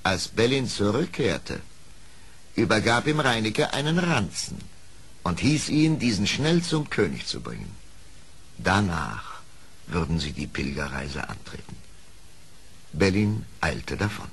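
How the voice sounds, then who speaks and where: A man narrating an old audio play as a storyteller, measured and expressive, in dramatic scripted style. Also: on the low side, vocal fry, breathy edge. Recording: faintly hissy old audio play record.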